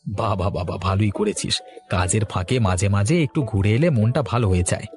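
A man voicing a brisk, doting father who works in an office, in a cartoon story. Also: lowish voice, darkish tone, faint music behind, lightly acted. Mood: friendly. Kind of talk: Bengali cartoon story acting.

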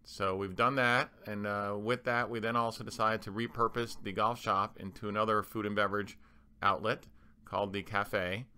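A man giving a halting, explanatory voice-over for a slide presentation, speaking as a manager laying out plans. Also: lowish voice, fairly even intonation, sharp tone, smooth.

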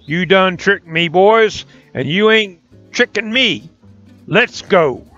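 Folk-tale Satan, loud and boastful, drawl, put on by a male storyteller; muffled recording, faint music. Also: high voice, expressive, slow, voice pushed up, heavily acted. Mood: blustering. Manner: loud, threatening, folksy drawl. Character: blustering boastful male devil, Satan himself. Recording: dull lo-fi recording.

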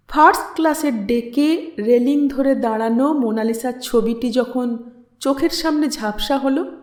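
Female story narrator, slow and expressive, measured dramatic reading, roomy echo. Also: high voice. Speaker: audiobook narrator and channel host.

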